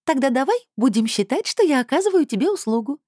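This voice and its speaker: A woman reader voicing a playful, coaxing female being with a soft, enveloping mezzo voice in a storybook. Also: sits high, sing-song, fast, no room sound, studio quality, pitched above the performer's own voice. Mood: coy, playful. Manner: purring, teasing, coaxing.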